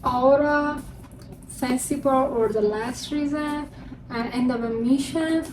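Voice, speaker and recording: A young woman with a high, bright-sounding voice chats cheerfully through her stack of books, English being her second language. The mic sits some way off from her. Construction noise from next door carries through clearly. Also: takes it slowly, recorded from a distance. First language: not English. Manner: chatty and upbeat, presenting books one by one. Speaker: book vlogger. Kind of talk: casual vlog showing her unread books. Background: construction noise from a neighbor.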